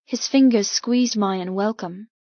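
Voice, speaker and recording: A woman reading first-person fiction as an audiobook narrator, with dramatic, measured storytelling. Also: medium pitch, a little mellow, no room sound, muffled recording.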